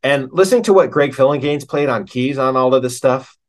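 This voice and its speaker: A man's voice: medium pitch, brisk. A man talking casually as an interview guest, in an enthusiastic, slangy, rambling way about his own craft.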